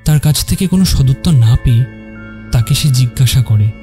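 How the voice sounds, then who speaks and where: A man narrating a scripted dramatic story in measured, separate phrases. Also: mid-range voice, expressive, dark tone. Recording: music under the narration.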